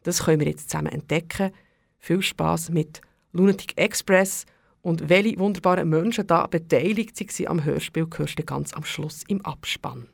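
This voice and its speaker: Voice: medium pitch, moderate pace, vocal fry, no echo, clean studio sound. A radio host introducing a radio play in a friendly, explanatory way.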